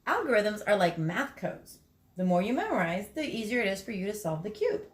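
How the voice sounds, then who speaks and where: A woman's voice: medium pitch, sing-song, brisk, close to an everyday voice. Easygoing know-it-all kid from a storybook, done by a lady reader, roomy sound. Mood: explaining. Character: confident friendly boy, cube expert. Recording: echoey room.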